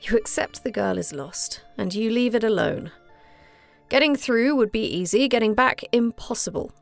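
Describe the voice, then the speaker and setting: Woman voicing a creaky, forbidding dark spirit over music. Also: a little high, animated, normal speed. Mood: stern warning. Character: hissing dark supernatural being, forbidding and stern. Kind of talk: dramatised fiction excerpt reading.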